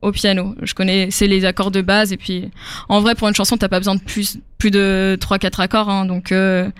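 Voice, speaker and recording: A woman's voice: mid-range voice, little pitch movement, normal speed, clear, resonant tone, dry recording. A young woman singer from Africa, answering interview questions casually with many fillers and easy laughs.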